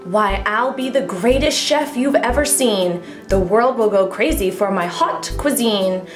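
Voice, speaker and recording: A woman voicing an excitable, boastful young schoolboy in a storybook, theatrical and eager, declaiming in rhyme. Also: medium pitch, measured pace, close to an everyday voice. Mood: grand, proud. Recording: echoey room with music underneath.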